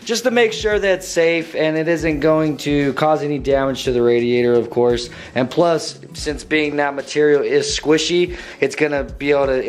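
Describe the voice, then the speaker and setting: A young man with a slightly hoarse voice, walking through a car build in a casual vlog and tossing in dude and bro. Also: on the high side. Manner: laid-back slangy, says dude and bro. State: slightly hoarse, losing his voice.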